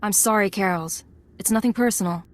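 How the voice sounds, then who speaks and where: An actress playing a composed, resolute young undercover imperial agent in a video game, terse and controlled. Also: female voice, mid-range voice, brisk, lightly acted. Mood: regretful. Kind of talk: dramatic video game acting.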